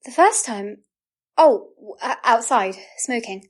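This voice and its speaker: A woman's voice: a little high, lively intonation, unhurried. A woman playing a nervous young government aide in an audio drama, flustered and stammering like a bad liar. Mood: nervous, evasive.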